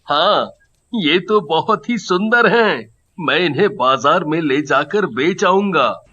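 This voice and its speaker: A man voicing a humble, earnest family tailor in a cartoon story, speaking deliberately. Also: a little high, expressive, bright, forceful tone, highs cut off, heavily acted. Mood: satisfied. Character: humble, earnest married tailor, a kindly father. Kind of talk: Hindi cartoon story dialogue.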